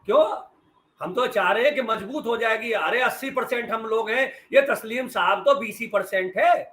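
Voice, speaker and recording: High-pitched, sarcastic Indian male debate panelist. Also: moderate pace, sharp tone. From western Uttar Pradesh, India. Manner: sarcastic, emphatic, rhetorical. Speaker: senior journalist, debate panelist. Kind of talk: political debate commentary.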